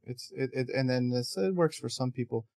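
A man's voice: mid-range voice, fairly quick, gravelly, a little mellow, no echo, studio quality. A man hosting a podcast interview, chatty and playful, often laughing as he talks. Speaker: podcast host. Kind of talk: casual interview chat.

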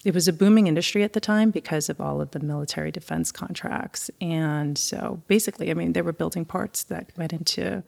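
A woman's voice: fairly low pitch, fairly quick, gravelly, few pauses, darkish tone. Chatty middle-aged woman guest. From born in Taiwan, raised on Long Island. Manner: chatty, laughing storyteller. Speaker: interview guest. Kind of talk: casual storytelling interview.

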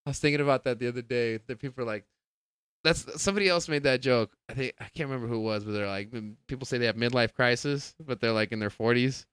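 A man in his thirties hosts a loose comedy podcast, cracking jokes and laughing often. His voice is bright and smooth. The studio sound is dry and close. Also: mid-range voice, fast talker, no room sound. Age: 30s. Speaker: podcast host. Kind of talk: casual comedy chat.

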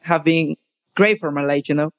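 A man's voice: on the high side, normal speed, no echo, studio quality. A man speaking eager English on a video call. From Nicaragua. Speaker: lead manager.

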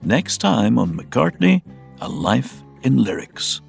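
A man's voice: medium pitch, sing-song, slow pace, gravelly, soft background music. A man reading scripted narration as a podcast narrator.

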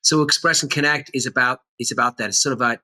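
A man's voice: mid-range voice, fast, pushed and bright, low-quality recording. A middle-aged man, an actor and teacher, talking earnestly and with animation in a conversational interview.

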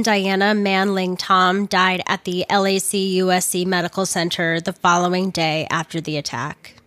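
A woman, somewhat hoarse from losing her voice, narrating a crime story with earnest personal commentary. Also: on the low side, fairly bright tone, no room sound.

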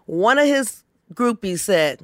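A woman's voice: medium pitch, slow pace, no echo, clean studio sound. A woman guest telling a story in casual chat, acting out the voices of the people she quotes. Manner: animated, acts out quoted lines.

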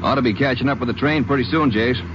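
An eager deputy lawman who talks quickly, as voiced by an actor. The voice comes through muffled, lo-fi old radio audio. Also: mid-range voice, background noise, close to an everyday voice. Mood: brisk. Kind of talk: 1950s radio drama acting.

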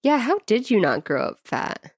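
A woman's voice: on the high side, big pitch swings, moderate pace, husky, dry recording, clean studio sound. An American woman co-hosting a podcast in casual conversation, informal and peppering her talk with "like". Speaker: podcast co-host. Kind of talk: casual chat.

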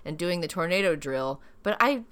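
A woman's voice: lowish voice, animated, moderate pace, a bit bright, clean tone. A tired woman co-hosting a podcast in casual, rambling chat. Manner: rambling, laughs often. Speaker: podcast co-host.

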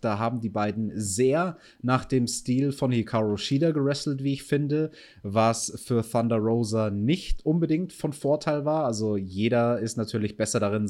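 A man's voice: medium pitch, a little mellow. A man hosting a wrestling review podcast, recapping matches in casual chat and asking his co-host for opinions. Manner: recaps matches in detail, asks co-host questions.

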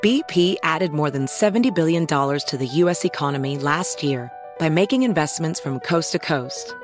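A female announcer reads a corporate commercial script. Her voice is notably deep for a woman, with clear music playing underneath. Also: expressive, moderate pace. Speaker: advertisement announcer.

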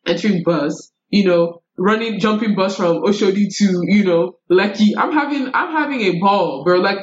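Young woman, animated slangy delivery, casual storytelling, middle pitch, slightly muffled lo-fi sound. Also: moderate pace. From United States. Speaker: podcast guest.

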